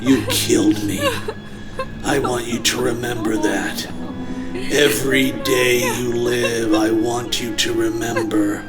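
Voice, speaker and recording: Man performing a resentful male ghost, mid-pitched, drawn-out delivery, melodramatic, 1950s radio drama, loud music behind. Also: level tone, natural voice. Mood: accusing, anguished. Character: bitter, accusing ghost of a dead husband. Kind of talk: 1950s radio mystery acting.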